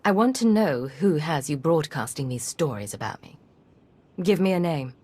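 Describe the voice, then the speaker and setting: Low, husky, sardonic imperial agent. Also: female voice, lively intonation, normal speed, a little mellow. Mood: dry, probing. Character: cool, sardonic female imperial agent, unimpressed commander. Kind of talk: video game acting.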